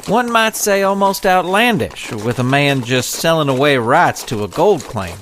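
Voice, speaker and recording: A man's voice: fairly high pitch, sing-song, normal speed, fairly bright tone, a little hiss or hum. A man playing a good-natured, skeptical western lawman fish in a comic audio drama, with folksy, hesitant phrasing.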